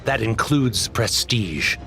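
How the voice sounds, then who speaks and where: A swaggering moneyed scoundrel from a game. His pompous, sneering delivery swings widely around a medium pitch, with clear music playing behind it. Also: expressive, slow, pushed and bright, full character voice. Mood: smug, sneering. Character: arrogant, boastful rich male villain. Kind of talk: video game voice acting.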